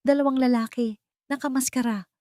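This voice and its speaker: Casting: meek, fearful housemaid-nanny who stammers, higher voice, for a dramatic story female reader performing. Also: fairly even intonation, fairly quick, dark tone, no echo, studio quality, lightly acted. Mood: frightened, stammering. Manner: stammering, hesitant. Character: frightened, timid young female nanny.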